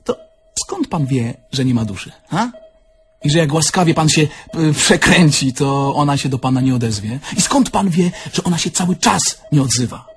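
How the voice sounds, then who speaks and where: An actor playing a naive, candid young Polish car thief in an audio drama, talking in a plain, conversational way. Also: male voice, fairly high pitch, expressive, normal speed, slight background noise. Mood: curious, challenging. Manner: conversational, plain-spoken, reminiscing.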